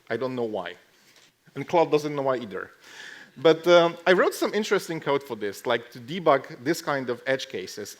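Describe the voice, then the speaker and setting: Dryly joking man giving a conference talk. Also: mid-range voice. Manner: dry, joking delivery with deadpan asides. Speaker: conference speaker. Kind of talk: casual lightning tech talk with live demo. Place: live talk to a conference audience.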